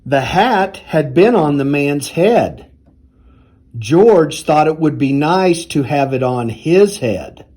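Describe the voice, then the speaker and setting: A man reading a children's storybook aloud in a deliberate, expressive storytelling manner. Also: on the high side. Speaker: university athletics director reading aloud.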